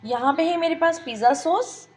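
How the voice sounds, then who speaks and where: A woman, hosting a home cooking tutorial and calmly giving the viewer step-by-step instructions. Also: very high-pitched, a little fast. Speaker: cooking channel host. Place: home kitchen.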